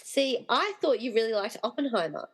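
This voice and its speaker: A woman playing an excitable, wry, slightly exasperated rescuer in a comedy radio play. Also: on the high side, a little fast, breathy edge. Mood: wry, matter-of-fact.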